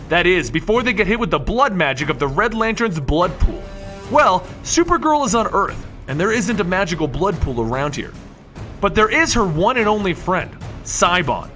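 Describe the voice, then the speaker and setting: A man's voice: high voice, sharp tone. A man narrating comic book stories from a script, emphatic and addressing his listeners directly. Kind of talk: lively scripted storytelling narration.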